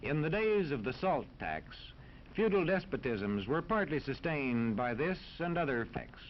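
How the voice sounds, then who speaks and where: A man's voice: a little high, sing-song, moderate pace. A man giving formal, didactic scripted narration in the style of a 1940s educational film. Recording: dull old film soundtrack, faint noise.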